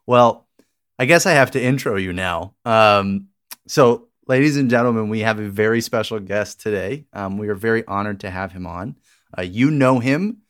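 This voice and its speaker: A man's voice: on the low side, moderate pace, a bit bright, clean tone. A man hosting a casual podcast, speaking in a hesitant, playfully formal way. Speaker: podcast host.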